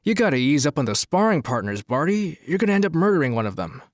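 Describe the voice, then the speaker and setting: An actor playing a persuasive, scheming rich promoter in an audio drama, talking in a businesslike way. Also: male voice, a little high, sing-song, fast talker, no room sound, studio quality. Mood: placating.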